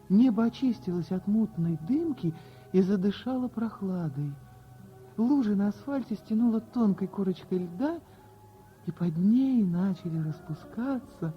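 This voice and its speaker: Expressive woman storyteller over music, wide-ranging pitch. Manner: theatrical, dramatic pauses. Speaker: storyteller, actor. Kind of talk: expressive scripted radio fairy-tale narration. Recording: dull radio recording with music behind.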